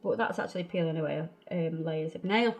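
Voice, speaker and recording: A woman's voice: sits low, fairly quick, a little mellow, soft background music. A woman nail technician, chatting casually through a tutorial while recovering from a cold. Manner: chatty, laughs a lot, local slang. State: bunged up from a cold.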